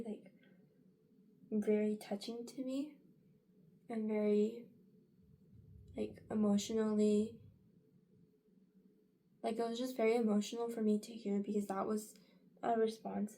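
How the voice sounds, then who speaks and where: A woman's voice: mid-range voice, level tone, measured pace, pauses often, dark tone, a little hiss or hum. A young woman in her late teens chatting casually in a get-ready-with-me vlog, rambling with many fillers and restarts. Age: young adult. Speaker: vlogger.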